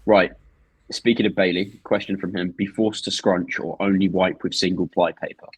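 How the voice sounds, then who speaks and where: A man's voice: low-pitched, level tone, normal speed, slightly rough, no room sound, rough recording. A man in his twenties co-hosting a podcast, teasing his co-host and reading out listener questions. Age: 20s. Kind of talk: casual chat.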